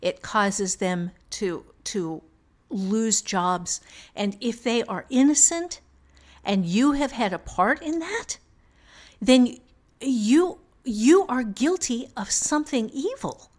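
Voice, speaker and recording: A woman's voice: a little high, animated, slow pace. Emphatic woman co-hosting a casual podcast. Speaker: podcast co-host. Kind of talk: casual unscripted discussion.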